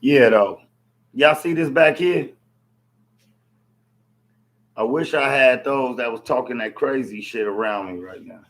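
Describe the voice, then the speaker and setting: A man with a hoarse voice from yelling, ranting bluntly to camera about a boxing match and swearing freely. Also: medium pitch, a little slow, long pauses, a little hiss or hum. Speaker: vlogger reacting to a boxing match. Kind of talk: casual rant to camera.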